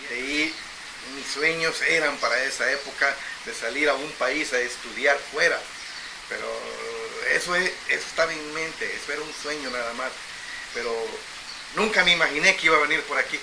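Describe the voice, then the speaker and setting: A middle-aged man from El Salvador, telling his life story as an interview guest in a reflective, unhurried way, often repeating phrases. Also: a little high, vocal fry, noisy background. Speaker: interview guest, agricultural engineer.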